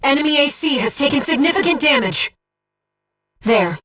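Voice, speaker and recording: A woman playing an earnest, devoted young female spirit guide in a video game, speaking with composed, gentle precision as a mission operator. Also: high voice, expressive, moderate pace, recorded from a distance, soft background music. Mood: urgent, alarmed. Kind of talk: scripted video game voice acting.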